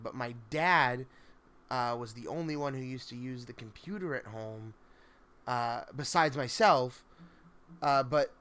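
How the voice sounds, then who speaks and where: A man hosting a story-reading livestream, chatting casually in a halting, think-out-loud way over background noise. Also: medium pitch. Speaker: host of a spooky story reading stream. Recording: clear steady background noise.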